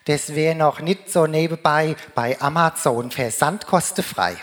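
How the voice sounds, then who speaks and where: This is a woman with a low voice and a Rhine-Hessian German accent. She gives a humorous carnival speech in dialect. She declaims it at a slow pace, in sing-song rhyming couplets. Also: heavy background noise. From Germany, Rheingau region. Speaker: carnival speaker.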